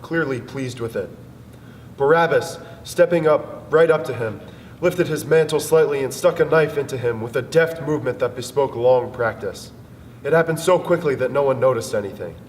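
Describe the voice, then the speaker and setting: A man's voice: mid-range voice, moderate pace, slight background noise. A man giving a solemn, dramatic narration of a literary story. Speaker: dramatic reader. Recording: distant, long reverb, music behind.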